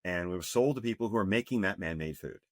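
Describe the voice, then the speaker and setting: Low-voiced guy in his 50s, earnest podcast guest, lots of restarts, dry sound. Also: fast, no echo. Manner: earnest, emphatic, with many restarts. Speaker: health coach guest. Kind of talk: podcast interview.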